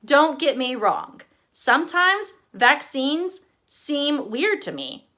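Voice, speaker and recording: A woman's voice: high-pitched, sing-song, takes it slowly, pushed and bright. A woman from Texas with a slight Texas accent, reading her own memoir aloud with wry humor. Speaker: author.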